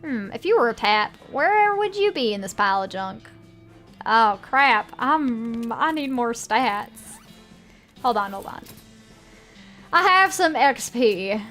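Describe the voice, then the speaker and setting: A woman's voice: fairly high pitch, fairly bright tone. A woman with a put-on Southern US accent, casually commenting on a game and reading its text aloud. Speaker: game streamer.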